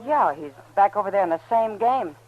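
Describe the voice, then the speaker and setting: A woman's voice: medium pitch, expressive, fairly quick, close to an everyday voice. An actress playing a frank, knowing frontier saloon woman in an old-time radio western, casual in manner. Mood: frank, sympathetic. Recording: muffled, noisy old radio recording.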